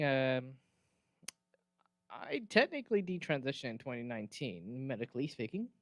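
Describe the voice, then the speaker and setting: A man's voice: mid-range voice, no echo. Candid man answering on a panel.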